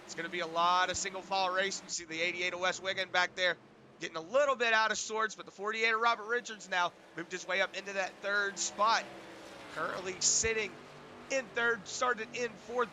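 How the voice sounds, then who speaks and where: A man doing relaxed, rambling play-by-play for an online truck race, his voice hoarse from a sinus infection and full of filler words. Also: sits high, a little fast, noisy background. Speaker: race commentator. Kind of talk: play-by-play sim racing commentary.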